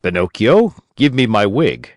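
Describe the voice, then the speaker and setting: Storybook old father, a woodcarver played by a male narrator: exasperated, exclamatory, very animated pitch, dry close sound. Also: a little slow, no room sound. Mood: angry shouting. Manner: exclamatory, scolding and lamenting. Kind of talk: storybook character voice in audiobook.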